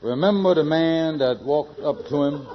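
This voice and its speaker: Man acting flustered, high-voiced radio sitcom schemer. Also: moderate pace, crowd noise, lightly acted. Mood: bewildered, insistent. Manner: stammering, repetitive, flustered, folksy ungrammatical speech. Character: excitable, bumbling male schemer in a radio sitcom. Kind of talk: old-time radio comedy acting. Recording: dull, faintly noisy vintage radio recording.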